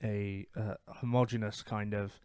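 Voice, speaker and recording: British male podcast co-host, clean resonant tone, halting and reflective casual opinion, dry sound. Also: lowish voice, level tone, a little mellow, smooth, no echo. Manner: hesitant, halting, thinks aloud. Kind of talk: casual opinionated gaming chat.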